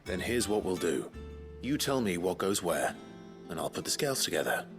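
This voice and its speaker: An actor playing a calm, kindly man who speaks gently and patiently in a video game. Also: deep voice, gravelly, music in the background, full character voice. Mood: patient, encouraging. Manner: measured, gentle, encouraging. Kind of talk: video game dialogue acting.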